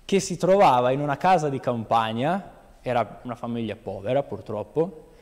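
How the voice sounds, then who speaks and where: Young male preacher, mid-pitched, animated storyteller in a big echoing church. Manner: lively, switches into quick dialogue for animal story. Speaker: lay preacher. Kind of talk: sermon with storytelling. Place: large church hall, standing on stage. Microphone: handheld microphone. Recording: long hall reverb.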